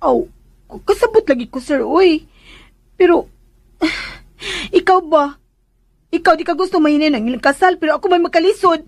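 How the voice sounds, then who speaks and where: A woman's voice: very high-pitched, lively intonation, moderate pace, pauses often. A woman playing a chatty, deferential young maid with a Filipino accent in a radio drama, hesitant and whiny as she pleads. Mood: flustered, chatty.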